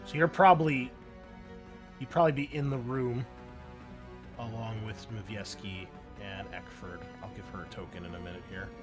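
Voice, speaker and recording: A man's voice: fairly low pitch, steady tone, moderate pace. A man working as game master, narrating and refereeing a tabletop roleplaying game in an improvised, conversational way. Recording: background music under the voice.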